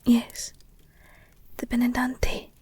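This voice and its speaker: Wanted: woman to play protective, guilt-ridden werewolf girlfriend for audio roleplay. High-pitched, breathy near-whisper, halting, soothing. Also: completely flat delivery, warm tone, full character voice. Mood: guarded, explaining.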